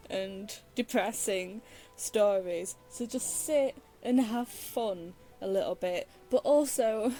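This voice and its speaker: A woman's voice: medium pitch, warm tone, low-quality recording. A woman streaming a video game with casual, rambling commentary, giggly and often interrupting herself. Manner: giggly, self-interrupting, meandering.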